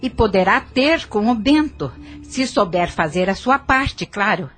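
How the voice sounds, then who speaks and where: A Brazilian woman playing a middle-aged aunt in an audio novel, placating yet firm, with a measured, coaxing delivery. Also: medium pitch, expressive, faint music behind.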